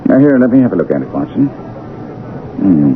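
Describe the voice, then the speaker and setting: An actor playing a keen, self-assured detective with a brisk, emphatic, teasing manner in a 1970s radio drama. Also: male voice, sits low, sing-song. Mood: confident, reasoning. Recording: tinny old radio broadcast, faint noise.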